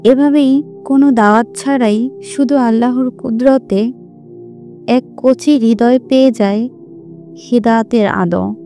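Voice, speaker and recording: Slow, high-voiced woman narrating. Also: steady tone, dark tone, faint music behind. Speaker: narrator of religious stories. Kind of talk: scripted inspirational storytelling narration.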